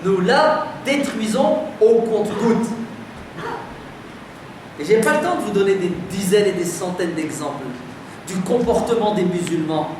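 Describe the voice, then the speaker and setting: A man's voice: high-pitched, animated, a little slow. A man from France giving a sermon to a live audience, firing emphatic rhetorical questions at the crowd in a conversational way. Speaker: preacher addressing a community audience. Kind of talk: lively lecture to a live audience. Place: community centre hall. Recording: very echoey hall, distant, noisy.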